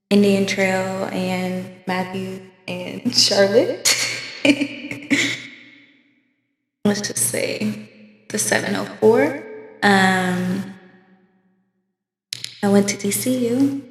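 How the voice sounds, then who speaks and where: A woman in her twenties from California, co-hosting a podcast, talking frankly and casually with slang and frequent laughs. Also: medium pitch. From Oakland, California. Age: 20s. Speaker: podcast co-host. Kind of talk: casual chat. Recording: echoey room with long reverb.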